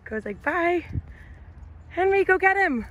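A young woman in her twenties chatting excitedly on a farm, filming herself with a phone held at arm's length outdoors. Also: very high voice, unhurried, muffled recording. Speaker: vlogger showing her horse property. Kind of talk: casual vlog chat to camera. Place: outdoors on a farm, under a barn roof and in a pasture. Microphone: phone camera held at arm's length.

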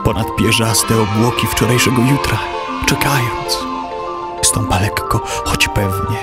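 A solemn poetry recital in a mid-pitched voice. The phrasing is slow and deliberate, with music playing loudly underneath. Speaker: poetry reciter in an audio play.

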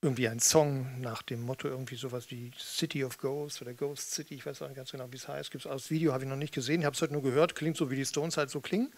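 A man's voice: medium pitch, steady tone, fast, few pauses. A man in his fifties chatting casually between songs in a dry, musing, rambling way, close to a stage microphone. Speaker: spoken-word performer and frontman. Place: small theatre with red seats, indoors. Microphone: studio mic with pop filter on stand, close.

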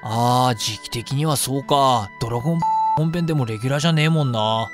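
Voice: medium pitch, over music, natural voice. A performer playing a cheeky, incredulous young man with slangy, exclamatory speech in a comedic voice drama. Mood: sympathetic. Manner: slangy, quick-reacting, exclamatory.

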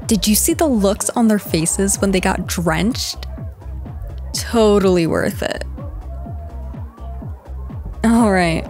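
A woman playing a teasing young goth girl in an ASMR roleplay, talking casually and directly to the listener. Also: medium pitch. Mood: excited, playful. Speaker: roleplay voice performer. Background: background music.

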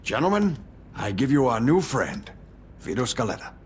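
An actor playing a middle-aged mafia don in a game cutscene, speaking formally, with ceremonial gravity. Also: male voice, mid-range voice, big pitch swings, moderate pace, a little hiss or hum, close to an everyday voice. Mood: formal, proud.

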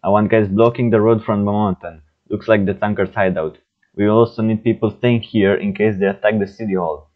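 A man's voice: lowish voice, fairly even intonation, a little fast, lo-fi sound, close to an everyday voice. A man playing earnest, no-nonsense police officers in an amateur stop-motion film, speaking in a plain, matter-of-fact way. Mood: resolute.

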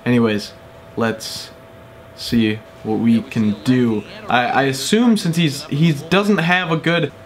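A man's voice: medium pitch, a bit bright, a little hiss or hum. A young man, a little sick, chatting casually with lots of fillers while playing a baseball video game at home. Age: young adult. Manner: chatty, rambling, upbeat with frequent fillers. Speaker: gaming YouTuber. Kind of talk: casual gameplay commentary. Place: small room at home, indoors.

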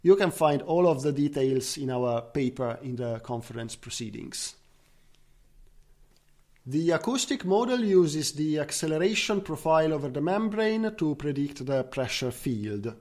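Higher-voiced man giving a research talk. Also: sing-song, measured pace, darkish tone. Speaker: researcher presenting a conference paper. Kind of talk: scientific conference presentation. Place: recorded online slide talk.

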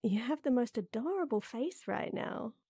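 A woman playing a tall, tender, teasing lady knight in an intimate roleplay audio, coaxing softly. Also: on the high side, expressive, normal speed, dry recording, clean studio sound. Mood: flirty, teasing. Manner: soft, teasing, coaxing, with breathy near-whispers.

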